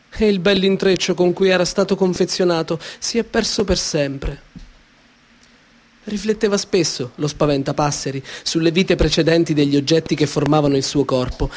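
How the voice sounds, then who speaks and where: A male storyteller reads a children's tale in a slightly high voice. His delivery is gentle and measured, with expressive turns on key phrases. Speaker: author reading his story. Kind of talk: storybook narration.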